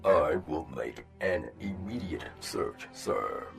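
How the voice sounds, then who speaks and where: A man playing a loyal, formal rabbit first officer in a sci-fi audio drama, measured and deferential. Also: rumbling low, lively intonation, creaky, fairly bright tone, faint music behind, heavily acted. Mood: businesslike.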